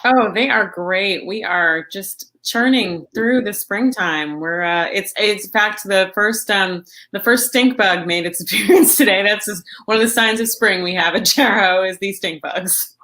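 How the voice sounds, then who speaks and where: Bright-voiced woman chatting casually. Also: mid-range voice, moderate pace, few pauses. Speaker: show co-host. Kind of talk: casual chat.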